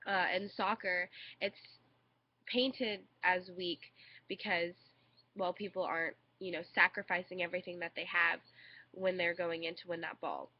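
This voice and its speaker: A woman's voice: on the low side, flat delivery, moderate pace, dry recording. A young woman with a congested cold, giving a hesitant student speech into a webcam with many fillers. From United States. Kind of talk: informal recorded speech. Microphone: webcam microphone. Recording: dull lo-fi webcam audio.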